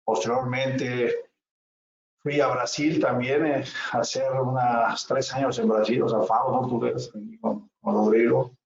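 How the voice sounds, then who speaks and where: A middle-aged man from Mexico introducing his career on a webinar panel, halting and repeating words. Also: mid-range voice, vocal fry, darkish tone, echoey room, distant mic, dull audio.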